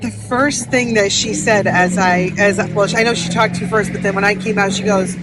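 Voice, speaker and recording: A young woman co-hosting a casual chat podcast, animated and quick to laugh as she tells stories. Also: medium pitch. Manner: chatty, animated storyteller who laughs often. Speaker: podcast co-host. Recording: noisy background hiss.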